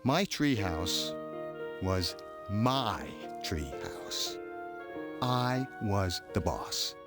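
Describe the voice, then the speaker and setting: A man's voice: lowish voice, big pitch swings, extremely slow, loud music behind the voice, exaggerated voice. A man reading as a young boy storybook narrator who is earnest, suspicious and puzzled, musing with dramatic hesitations. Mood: possessive, grudging.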